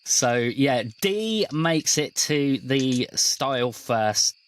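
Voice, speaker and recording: A man's voice: mid-range voice, animated, very slow, smooth. A man narrating an improvised tabletop roleplaying game as game master.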